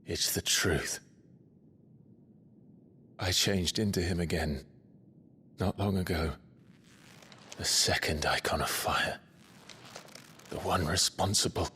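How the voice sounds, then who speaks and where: A man playing a guilt-ridden former soldier and nobleman in a video game, speaking gravely in hushed, halting phrases. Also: sits low, slight background noise, full character voice. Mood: grim confession. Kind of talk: dramatic video game cutscene acting. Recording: music behind the voice.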